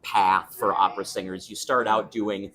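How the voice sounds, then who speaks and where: A man's voice: lowish voice, flat delivery, normal speed, crowd noise. A young man who sings opera, chatting thoughtfully in an interview at a restaurant. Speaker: opera singer, guest. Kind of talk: casual interview chat.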